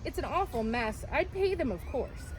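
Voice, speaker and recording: A kindly old lady bear from a children's storybook, acted out by a woman. The voice sits high, polite and a little hesitant, over a clearly noisy recording. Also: animated, normal speed. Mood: tentative. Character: kindly elderly widowed lady bear neighbour.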